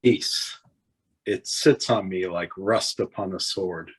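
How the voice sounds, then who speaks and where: A gruff dwarf warrior, acted by a man at a fantasy script reading. His voice is husky and unhurried, with a blunt, grumbling, battle-hungry manner. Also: sits low, exaggerated voice. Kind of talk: table read of a fantasy script.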